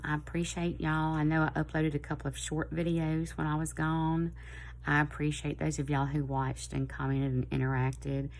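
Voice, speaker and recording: Southern woman, middle-aged, low gravelly voice, slightly hoarse, rambling chatty vlog talk. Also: darkish tone. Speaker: planner vlogger. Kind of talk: casual chat to camera.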